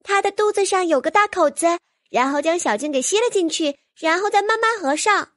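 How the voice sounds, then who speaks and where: A woman's voice: extremely high pitch, animated, a little fast, no echo, clean studio sound, pitched above the performer's own voice. A woman voicing a cheeky, giggly, childlike little fairy sprite in an audiobook, playful and boastful. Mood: gleeful, boastful. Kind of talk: audiobook character voice.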